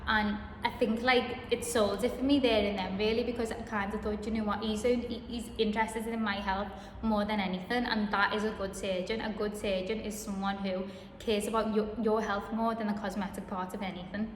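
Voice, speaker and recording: A woman's voice: mid-range voice, little pitch movement, a little fast, reverberant, big-room sound, a little hiss or hum. A woman tells a personal story to camera, chatting with many ums and restarts. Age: 20s. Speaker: vlogger.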